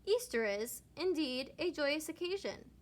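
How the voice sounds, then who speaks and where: A kindly preacher bear from a children's storybook, voiced by a woman reader. The voice is high, and he explains things patiently, with measured earnestness. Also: expressive. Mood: solemn, joyful.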